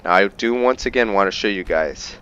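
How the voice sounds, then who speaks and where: A man's voice: lowish voice, a little fast, fairly bright tone, clear, resonant tone, lo-fi sound. A man doing casual let's play commentary, thinking aloud to his viewers and slipping into roleplay. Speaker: gaming video host.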